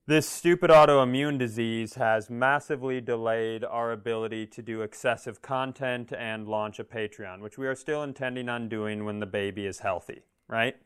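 A man with a clear, smooth, medium-pitched voice co-hosts a comedy podcast. He riffs casually, rambling through jokes and poking fun at himself. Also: fairly even intonation, moderate pace, hardly any pauses, a bit bright. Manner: joking, rambling, self-deprecating. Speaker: podcast co-host. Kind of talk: casual comedic chat, riffing.

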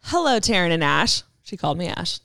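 A young woman co-hosting a podcast, reading a listener's story aloud in an animated way and laughing often. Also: on the low side, fairly quick, gravelly, no echo, clean studio sound. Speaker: podcast co-host.

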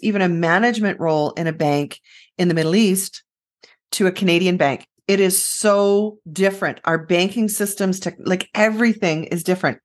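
A woman co-hosting a podcast, giving direct, emphatic hiring advice with confidence. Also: on the low side. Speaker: podcast co-host, recruiting expert. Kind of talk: casual conversation about recruiting.

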